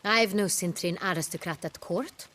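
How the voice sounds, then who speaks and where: A woman's voice: on the low side, moderate pace, fairly bright tone. An actress playing a stern, imperious female ruler in a video game, speaking with cold, formal command. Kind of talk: dramatic video game acting.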